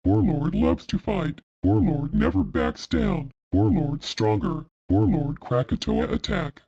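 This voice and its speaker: A man's voice: fairly high pitch, sing-song, unhurried, gravelly, a crowd in the background, heavily acted. A man voicing a menacing, boastful skeleton warlord in a fan-made drama, taunting in clipped phrases through dull lo-fi audio. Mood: boastful battle cry.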